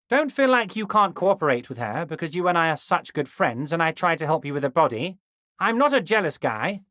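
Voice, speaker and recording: A smug, corrupt union boss from a video game. He speaks with a very lively, swinging pitch in a close, dry recording. His manner is oily and ingratiating, all false friendship and sly insinuation. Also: no echo, exaggerated voice. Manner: ingratiating, oily, insinuating, repeatedly addressing listener as a pal. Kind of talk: video game character dialogue.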